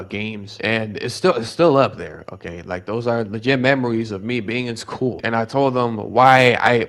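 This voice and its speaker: Rambling young man with a Bronx accent. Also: fairly low pitch. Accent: New York, Bronx. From the Bronx, New York. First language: Spanish. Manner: rambling and animated, with many restarts and repeated words. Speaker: vlogger. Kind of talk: casual storytelling vlog.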